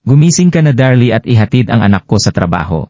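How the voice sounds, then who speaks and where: A man's voice: mid-range voice, sing-song, fast talker, a little mellow, dry recording, close to an everyday voice. Man voicing a harsh, bossy Filipino mother-in-law. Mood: irritated, scolding. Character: scornful, irritable mother-in-law in her thirties. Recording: dull lo-fi sound.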